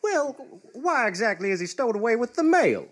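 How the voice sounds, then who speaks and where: An actor playing a bewildered, put-upon small-town mayor and querulous husband in live comic radio theatre. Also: male voice, very high-pitched, sing-song, moderate pace, full character voice. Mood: puzzled. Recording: noisy live stage recording.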